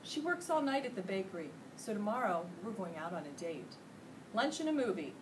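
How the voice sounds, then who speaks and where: A woman's voice: medium pitch, big pitch swings, brisk, natural voice. A woman voicing a jolly male night janitor from a children's storybook, enthusiastic, colloquial and chatty. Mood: happy, smitten. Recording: noisy room, distant microphone.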